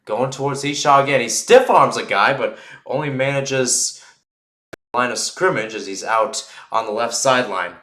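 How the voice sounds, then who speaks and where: A man's voice: medium pitch, moderate pace, fairly bright tone, roomy sound. A man calling live American football play-by-play for radio, chatting through asides and swelling on big plays.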